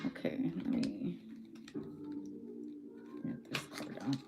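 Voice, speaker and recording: A woman's voice: slow pace, loud music behind the voice. A woman doing a casual tarot reading who is losing her voice, talking bluntly and swearing freely. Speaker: tarot reader.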